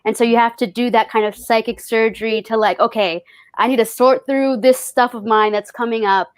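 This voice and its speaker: A woman with a fairly high voice talks as a panelist in a reflective conversation. She is thoughtful and feels her way toward each phrase. The sound is dry and close. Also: steady tone, brisk, smooth, dry recording. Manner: thoughtful, searching for words. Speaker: actor on a festival panel.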